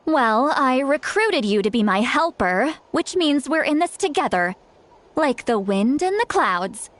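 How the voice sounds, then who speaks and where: A woman voicing a caring, upbeat young female adventurer in a game, who talks in a warmly reassuring and encouraging way. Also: high-pitched, very animated, unhurried, no echo. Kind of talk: game voice acting.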